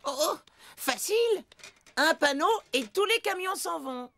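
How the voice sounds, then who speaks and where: A woman's voice: very high-pitched, expressive, a little slow, heavily acted. A woman voicing a young, cheeky, playful penguin in a French children's cartoon, with an eager, exclamatory delivery. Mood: excited, playful. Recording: prominent music behind the voice.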